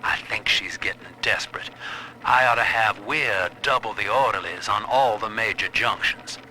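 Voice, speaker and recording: Sharp, cold male interrogator. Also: on the low side, moderate pace, slight background noise, low-quality recording. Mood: calculating. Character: cold, watchful male prison official and interrogator. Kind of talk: video game audio log acting.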